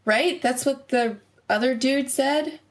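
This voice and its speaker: A woman's voice: high-pitched, takes it slowly. A woman playing a tabletop roleplaying game, talking casually in and out of character.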